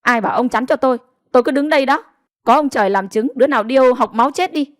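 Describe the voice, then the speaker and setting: A woman voicing a sharp-tongued, fiercely protective village wife in an audio story, with a scolding, cursing manner. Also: high-pitched, fast, no echo, clean studio sound, pitched above the performer's own voice, lightly acted. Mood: defiant cursing.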